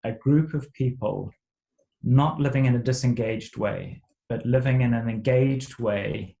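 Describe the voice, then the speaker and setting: Man, middle-aged, from Zimbabwe, grew up speaking Shona and English, gravelly, hesitant and reflective. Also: medium pitch, unhurried, mellow. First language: Shona alongside English. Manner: hesitant, many fillers and restarts. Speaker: guest. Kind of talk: interview storytelling.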